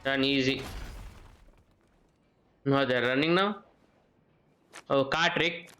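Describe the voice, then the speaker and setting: Slow-talking male game streamer. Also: medium pitch, bright, forceful tone. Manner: halting, repeats the game dialogue. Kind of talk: casual gameplay commentary and chat.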